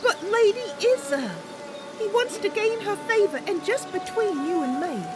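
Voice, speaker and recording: A woman's voice: extremely high pitch, lively intonation, moderate pace. A woman voicing a chatty, playful young female wood elf adventurer in a fantasy game roleplay. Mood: earnest, playful. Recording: loud music behind the voice.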